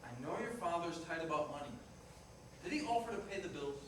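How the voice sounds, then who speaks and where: A frank, remorseful working-class ex-fiancé, acted by a man in a stage play, plain-spoken and rather high in pitch. The sound is distant, with heavy room echo. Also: lively intonation, fairly quick, natural voice. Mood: matter-of-fact. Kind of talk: staged reader's theater dialogue. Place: indoor performance room, distant microphone. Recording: echoey noisy room recorded from far off.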